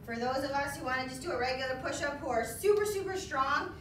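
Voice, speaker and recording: A woman demonstrating exercises, giving clear, practical coaching cues. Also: sits high, animated, fast, a bit bright. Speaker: fitness instructor. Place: large bare indoor room. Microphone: far camera. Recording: echoey room, distant, some noise.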